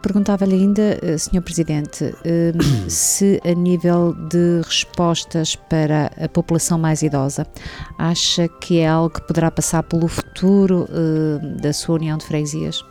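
A woman moderates a panel, asking polite, formal questions. Her voice is very low and dark, with faint music in the background. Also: steady tone, moderate pace. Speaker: host.

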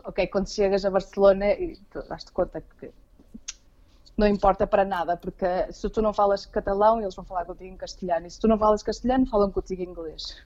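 A woman's voice: medium pitch, fairly even intonation, fast talker, darkish tone, muffled recording. A woman with a Portuguese accent talking casually as an interview guest, often hesitating and repeating words as she searches for phrasing. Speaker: interview guest living abroad.

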